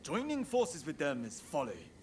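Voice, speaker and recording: An actor playing an impassioned, declamatory samurai rebel in a dramatic video game cutscene. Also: male voice, on the high side, huge pitch swings, moderate pace, a little mellow, slight background noise. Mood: stern. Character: impassioned samurai rebel man rallying his country.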